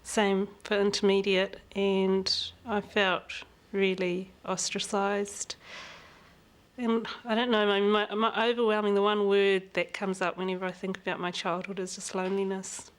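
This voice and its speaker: A woman with a New Zealand accent, speaking candidly and reflectively as an interview guest, quick to laugh. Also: mid-range voice, flat delivery. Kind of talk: frank personal conversation.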